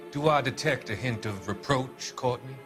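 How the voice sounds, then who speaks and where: An actor playing a calm, formal psychiatrist with quiet authority, speaking deliberately in a dramatic video game cutscene. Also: male voice, mid-range voice, close to an everyday voice. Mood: calm, evasive. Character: smooth, measured psychiatrist, mature man of quiet authority. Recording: music behind the voice.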